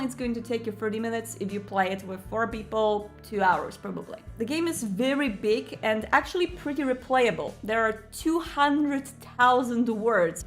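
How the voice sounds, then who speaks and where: A woman hosting a video, explaining a product in an emphatic, excitable, casual way. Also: a little high. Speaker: video host and game reviewer. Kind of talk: enthusiastic scripted-casual product explainer to camera.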